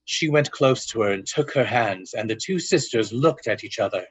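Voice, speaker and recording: A man's voice: medium pitch, lively intonation, normal speed, husky, dry recording. A man giving dramatic scripted narration in the style of an old-time radio drama.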